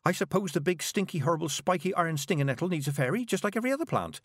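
A man's voice: a little high, lively intonation, very fast, dry recording, studio quality, pitched above the performer's own voice. A man doing a breathless stammering girl witch. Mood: flustered. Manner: flustered, breathless, full of ums.

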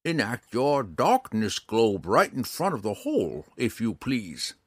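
A man voicing a crafty, mischievous old ranger with brisk, theatrical, formal speech in a fantasy audiobook. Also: medium pitch, very animated, slightly rough, no echo. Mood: gruff, instructing.

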